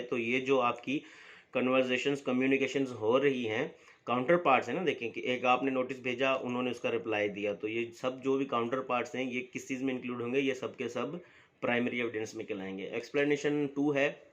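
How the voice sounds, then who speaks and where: A man's voice: medium pitch, a bit bright. Man lecturing on law. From Pakistan. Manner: asks the class a question, then answers it himself. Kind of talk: explanatory lecture. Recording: dull lo-fi recording.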